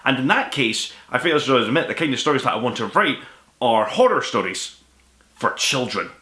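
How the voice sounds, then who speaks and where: A man's voice: medium pitch, very animated, moderate pace, sharp tone. A man in his twenties or thirties giving a casual, rambling book review to camera as host of his own show. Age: 20s to 30s. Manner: rambling, self-deprecating, informal. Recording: echoey room.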